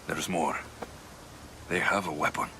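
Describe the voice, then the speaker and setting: A man's voice: a bass voice, fairly even intonation, unhurried, creaky, background noise, exaggerated voice. A man playing a grim, driven young warrior in a video game, terse and intense, with music behind him. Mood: grim, urgent. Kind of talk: dramatic video game acting.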